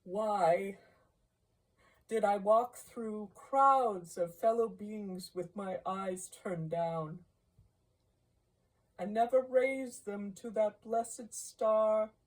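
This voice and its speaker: A woman's voice: medium pitch, pitched above the performer's own voice, rough recording. A woman playing a mournful male ghost in a storybook reading, solemn and declamatory, drawing out grave warnings. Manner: slow, drawn-out, declamatory delivery.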